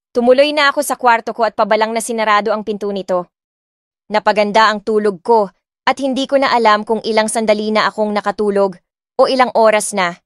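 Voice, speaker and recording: A woman's voice: mid-range voice, clean tone, no room sound, clean studio sound. A woman with a Filipino accent narrating a romance audiobook in the first person, telling it with restrained emotion. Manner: emotional, restrained storytelling in Tagalog and English. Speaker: narrator and voice of the heroine. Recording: background music under the voice.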